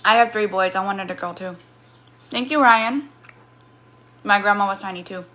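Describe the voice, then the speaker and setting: Chatty woman streamer with a bright voice. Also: medium pitch, normal speed. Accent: Missouri. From Missouri, USA. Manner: chatty, rambling, reads and answers comments. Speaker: livestreamer. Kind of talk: casual chat with live chat.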